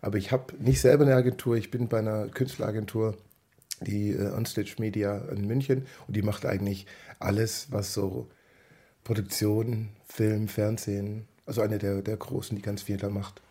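Husky man with a head cold. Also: fairly low pitch, steady tone, moderate pace, warm tone. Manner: upbeat, chatty. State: has a cold. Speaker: podcast guest, choreographer. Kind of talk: casual chat.

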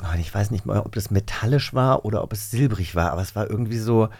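A man's voice: on the low side, quick pace, creaky, a little mellow, husky. A man co-hosting a podcast, asking eager, rambling questions with restarts. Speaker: podcast co-host. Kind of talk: casual interview chat. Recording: dry close mic.

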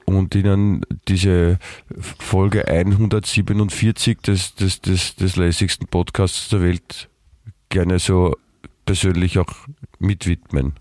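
A hoarse man with an Austrian accent and a low voice. He co-hosts a podcast in rambling, playful banter. The recording is close and dry. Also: little pitch movement, a little mellow, no room sound. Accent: Austrian German. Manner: playful, rambling, halting restarts. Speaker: podcast co-host. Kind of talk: casual banter.

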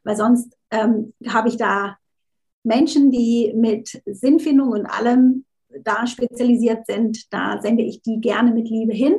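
A woman's voice: a little high, steady tone, slow pace, dark tone, poor audio. A woman interview guest, a coach, talking casually, often restarting words and slipping in English phrases. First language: not German, unsure of her German.

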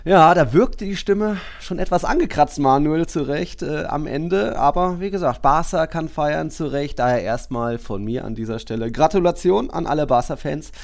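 A man's voice: a little high, moderate pace, creaky, few pauses. A man hosting a football podcast in a casual conversational style. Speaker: podcast host.

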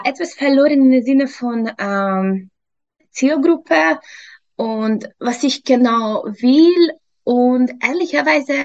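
Slow, high-voiced Hungarian-accented woman, dull sound. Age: early 30s. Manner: halting, searching for words in non-native German. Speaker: interview guest, fitness trainer. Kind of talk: personal account in an interview.

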